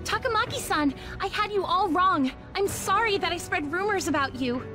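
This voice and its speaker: An actress playing an apologetic, gossipy high school girl in an English video game dub. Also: animated, normal speed, music in the background.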